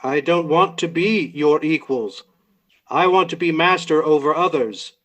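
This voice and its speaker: A cold, defiant robot acted by a man in a radio drama, pitched a little high for a male voice. The manner is blunt and curt, insistent and contemptuous of the humans it refuses to serve. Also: a little slow, fairly bright tone.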